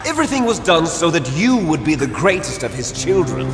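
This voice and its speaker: An actor playing a bitter, gloating villain twin with grand ambitions in a video game, theatrical and taunting. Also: male voice, a little high, sing-song, a little fast. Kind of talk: dramatic video game voice acting. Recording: echoey hall reverb under loud music.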